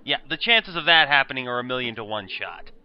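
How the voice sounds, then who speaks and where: Audio drama: bookish, precise teenage brainiac, male actor, expressive pitch, muffled lo-fi sound. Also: brisk, smooth, lightly acted. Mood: dry, scheming. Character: brainy, wordy, fussy nerdy teenage schoolboy. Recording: dull lo-fi recording, crowd noise behind.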